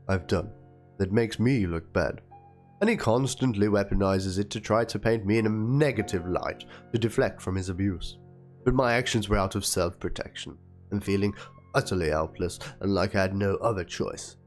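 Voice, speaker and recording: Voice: deep voice, normal speed, a little mellow. A reader giving a theatrical dramatic reading of written messages in an aggrieved, pleading manner. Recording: music under the voice.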